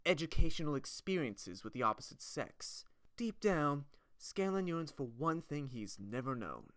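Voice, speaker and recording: A man putting on a flamboyant gnome bard's promoter, bright and swooping. He is vain and flirtatious, stretching words for theatrical effect in a fantasy game intro. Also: on the high side, expressive, no echo, clean studio sound, pitched above the performer's own voice. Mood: showy, flirtatious. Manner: showy, drawn-out, theatrical promoter.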